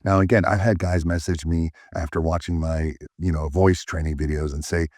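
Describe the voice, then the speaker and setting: A man with a deep voice giving dating advice straight to the camera, emphatic and often checking in with "all right?". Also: steady tone, moderate pace, a little mellow, no echo. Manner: fillers and restarts. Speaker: dating coach. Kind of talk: direct-to-camera advice talk.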